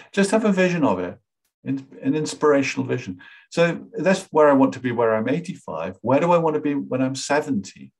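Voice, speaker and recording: A man in his sixties teaching a webinar from a small room at home, talking over a webcam in a relaxed, rambling way with lots of "you know". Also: medium pitch, vocal fry. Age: 60s. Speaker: workshop facilitator. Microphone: webcam on a video call.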